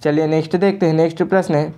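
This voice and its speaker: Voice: medium pitch, steady tone, racing, dark tone, smooth. An exam coaching teacher with an Indian accent, reading out questions and answers in a repetitive, formulaic lesson delivery.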